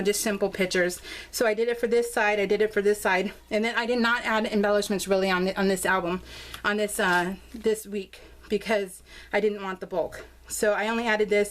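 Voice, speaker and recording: An American woman chatting casually and informally in a bubbly, gushing way as she shows her craft project. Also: mid-range voice. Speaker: craft vlogger showing her scrapbook album. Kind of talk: casual chatty narration of a craft show-and-tell.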